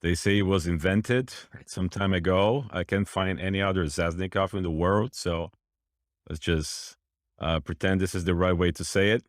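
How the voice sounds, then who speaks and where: A man from Brazil with a very low voice. He talks in a relaxed, hesitant way with small restarts. The recording is dry. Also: normal speed, no echo. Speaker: instructor.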